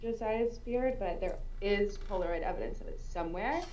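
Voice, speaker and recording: A woman's voice: medium pitch, normal speed, lo-fi sound. A woman guest chatting casually in a tongue-in-cheek, wordy and hesitant way. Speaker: voice actor guest. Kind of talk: casual chat. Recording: noisy mic with room ambience.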